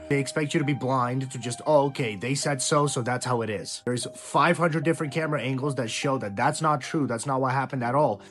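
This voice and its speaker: A man's voice: mid-range voice, fairly bright tone. Young man, from Ukraine, indignant political commentary, emphatic, faint music behind. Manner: emphatic, indignant, swearing at times. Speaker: YouTube commentator.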